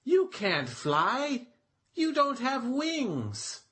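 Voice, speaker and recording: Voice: high voice, huge pitch swings, drawn-out words, fairly bright tone, pitched above the performer's own voice, heavily acted. A storyteller voicing caring but firm birds in a children's storybook, speaking in a careful, instructive way. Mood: matter-of-fact.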